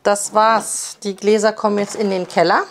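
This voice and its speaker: A woman's voice: mid-range voice, flat delivery, normal speed, a little hiss or hum. A woman in a kitchen, explaining cooking in a practical, step-by-step way.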